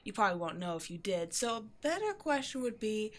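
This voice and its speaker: A woman's voice: medium pitch, very animated, fairly quick, close to an everyday voice. A woman acts out an upbeat, quirky keeper of a mysterious occult shop. She chats in a breezy, casual way, as if to a new employee in an ASMR roleplay. Eerie matters get the same offhand, friendly treatment as everyday ones. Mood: casual, businesslike. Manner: chatty, breezy, offhand about eerie things. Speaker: shop owner character speaking to a new employee. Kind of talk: ASMR roleplay, casual one-sided conversation.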